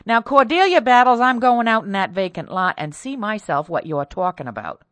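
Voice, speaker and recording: A woman narrator voicing an indignant, bossy mistress of a household in a storybook, with a sharp, demanding manner. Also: mid-range voice, animated, moderate pace, no room sound, pitched above the performer's own voice, natural voice. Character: indignant, peremptory mistress of a household, middle-class country wife.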